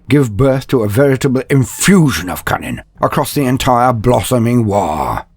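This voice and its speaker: A man narrating a mock-epic fantasy tale in a grandiose, theatrical manner with wry asides, his voice gravelly and husky. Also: medium pitch, no echo. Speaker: narrator, storyteller. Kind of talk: dramatic scripted fan fiction narration, mock-epic.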